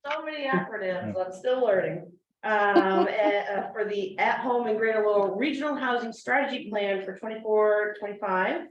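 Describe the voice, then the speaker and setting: A woman giving a finance report at a meeting, talking haltingly with many fillers. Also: medium pitch, lo-fi sound. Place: meeting room. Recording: echoey room, far from mic.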